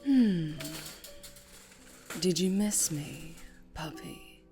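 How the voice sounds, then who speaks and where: A woman playing a dangerously charming female mafia boss in an ASMR roleplay, teasing and condescending with quiet, possessive menace. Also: lowish voice, lively intonation, very slow, soft background music. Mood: menacing, relishing.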